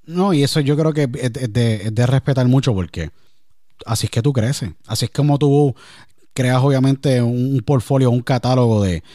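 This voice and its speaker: A man's voice: mid-range voice, normal speed, mellow, dry recording, clean studio sound. A man with a Puerto Rican accent, talking at length in a casual interview and often checking that the listener follows him. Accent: Puerto Rican Spanish. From Puerto Rico. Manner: talkative, emphatic, repeats "me entiendes". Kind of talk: casual conversation.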